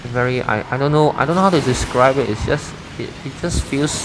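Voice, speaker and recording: A man's voice: mid-range voice, a little fast, background noise. A young man casually commenting on his own racing gameplay, rambling and correcting himself as he goes. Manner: rambling, self-correcting, chatty. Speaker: gaming YouTuber. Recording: game sound loud behind voice. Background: racing game engine sounds.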